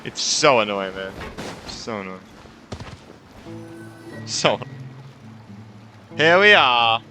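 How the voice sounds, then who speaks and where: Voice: mid-range voice, sing-song, takes it slowly, pushed and bright. A streamer giving casual game commentary, muttering reactions and repeating phrases. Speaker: gaming streamer. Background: game music.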